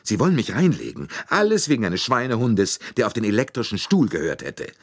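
A man voicing a hot-tempered Italian former chauffeur with an Italian accent, who talks loud, quick and indignant. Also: on the low side, very animated, pitched above the performer's own voice. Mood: defiant.

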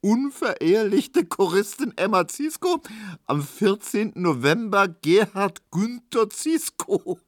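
A man acts out a loud, excitable male barbershop colleague from a storybook. The voice leaps high and swoops widely, in brash, gleeful slang, very close and dry. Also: normal speed, hardly any pauses, breathy edge, dry recording, exaggerated voice. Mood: hysterical glee. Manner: shouting, Berlin colloquial speech, egging on.

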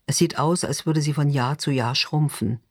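A man's voice: fairly high pitch, moderate pace, no room sound, clean studio sound. A man narrating literary fiction in a scripted audiobook reading style.

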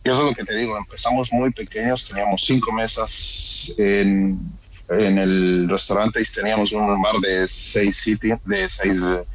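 A man's voice: on the low side, flat delivery, moderate pace, poor audio. A man with a Madrid Spanish accent, a restaurant owner being interviewed, talking casually with colloquial question tags and the odd English loanword. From Spain, born in Ecuador and raised in Madrid.

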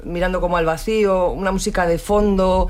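High-voiced guy interviewing, talks super fast, keeps saying o sea. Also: fairly even intonation, a little mellow. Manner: rapid, enthusiastic, full of "o sea" fillers. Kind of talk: conversational interview.

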